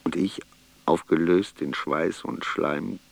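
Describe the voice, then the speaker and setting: Brief: ailing, feverish loner, radio drama monologue, extremely deep and creaky, weary, inward. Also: male voice, steady tone, slow, heavily acted. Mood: weary, introspective. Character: sick, feverish man brooding over his notes. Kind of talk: radio play, intimate first-person monologue.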